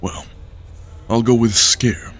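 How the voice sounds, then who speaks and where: An actor playing a serious, guarded male war hero who speaks tersely and measured. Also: medium pitch, a bit bright, breathy edge. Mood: grave. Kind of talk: audio drama acting.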